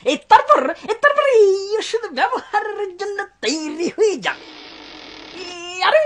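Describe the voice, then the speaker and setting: Wily old healer, wildly swooping high voice. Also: female voice, sing-song, measured pace, full character voice. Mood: busy, fussy. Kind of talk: cartoon dub acting.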